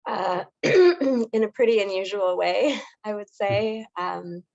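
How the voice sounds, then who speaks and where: A woman journalist with a hoarse voice, giving clear and articulate interview answers. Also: medium pitch, slow pace, a little mellow, lo-fi sound. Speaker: journalist guest.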